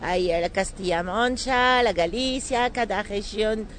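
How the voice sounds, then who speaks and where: A woman's voice: medium pitch, fairly bright tone, a little hiss or hum. A woman from France with a French accent, a native French speaker answering interview questions casually and searching for words. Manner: halting, searching for words in non-native Spanish. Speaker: interview guest, entrepreneur and bit-part actress.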